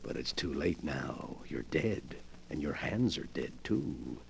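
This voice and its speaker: An actor playing a sly, morbid invalid gentleman who gloats with effusive politeness, in 1940s radio mystery style. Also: very deep voice, big pitch swings, slow pace, vocal fry, slight background noise, full character voice. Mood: hushed, ghoulish. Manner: effusively polite, theatrical, relishing his words. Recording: vintage 1940s radio broadcast.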